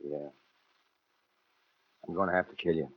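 A man's voice: mid-range voice, lively intonation, brisk, husky, no echo. An actor in a 1940s radio crime drama playing a cold, self-justifying American killer, brooding as he narrates and clipped when he talks. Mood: coldly calm. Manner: brooding first-person narration with hesitant, clipped dialogue. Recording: muffled 1940s radio broadcast, faint music.

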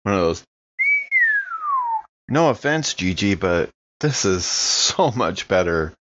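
Guy with a deep voice playing an audio rpg, casual, making it up as he goes. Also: lively intonation, slow pace, lo-fi sound, background noise. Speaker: tabletop role-playing player. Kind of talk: casual improvised play.

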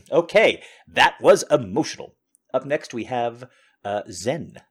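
A man's voice: mid-range voice, big pitch swings, slow pace, creaky, breathy edge. A man hosting a show, answering listener messages in earnest, casual asides. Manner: laughing, earnest, apologetic asides.

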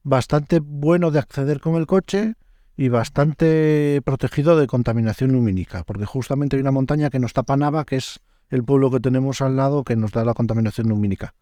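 A man's voice: medium pitch, fairly quick, mellow, dry recording, studio quality. A man with a northern Spanish accent hosting a podcast in casual, colloquial, slangy interview chat. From northern Spain. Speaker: podcast host.

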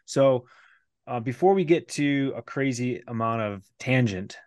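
A man's voice: mid-range voice, animated, a little slow, a little mellow, dry recording, clean studio sound. A man hosting a podcast in a loose, playful, teasing way. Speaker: podcast host. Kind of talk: casual podcast chat.

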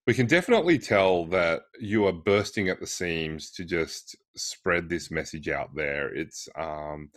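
A man interviewing a guest in a conversational way, encouraging, with hesitant, pausing phrasing. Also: deep voice, expressive, fairly bright tone, no room sound, clean studio sound.

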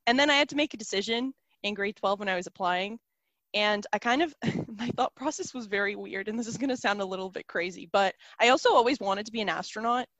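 A woman's voice: mid-range voice, quick pace, a bit bright, no echo. A young woman from South Africa whose first language is Serbian, chatting casually as an interview guest, with lots of "like" and "um". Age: young adult. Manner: chatty, many fillers like "like" and "um".

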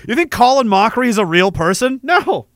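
A Canadian man with a high, bright voice rants in a loud, mocking, rambling way and swears often. His pitch swings widely, and the recording is close and dry. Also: very animated, moderate pace, no room sound. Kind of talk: comedic rant.

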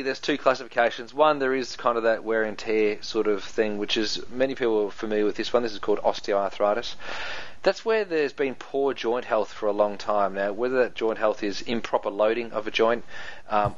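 A man with a New Zealand accent explains health topics as a podcast guest, talking in a loose, joking way and padding his points with ums and restarts. His voice sits a little low. The recording sounds dull and lo-fi. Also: fairly quick. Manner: laughs easily, jokes, many fillers and restarts. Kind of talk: casual explanatory chat.

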